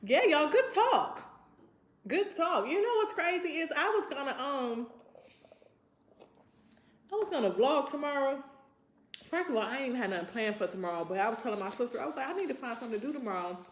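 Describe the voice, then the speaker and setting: A woman's voice: sits high, slight background noise. A woman in her twenties chatting casually to her vlog audience in a rambling, reflective, informal way. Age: 20s. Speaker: vlogger. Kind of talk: casual chat to camera. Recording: roomy, echoey sound.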